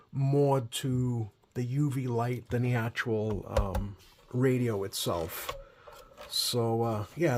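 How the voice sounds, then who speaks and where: Man, mid-pitched voice, easygoing, halting delivery, casual hobbyist demonstration, thinking aloud. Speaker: radio repair hobbyist hosting a video. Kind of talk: casual unscripted hands-on demonstration commentary.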